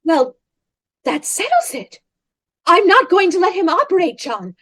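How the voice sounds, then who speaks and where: A shrill whiny wife. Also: female voice, big pitch swings, a little slow, bright, forceful tone, exaggerated voice. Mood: nagging. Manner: whiny, plaintive, teasing. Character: whiny nagging wife at bedside. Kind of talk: comic radio play acting.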